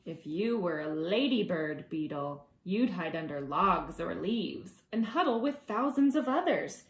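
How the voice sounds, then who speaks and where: A woman reading aloud to preschoolers as their teacher, expressive and gentle, drawing out words and adding conversational asides. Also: on the low side, reverberant. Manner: gentle, deliberate, drawing out words for young listeners.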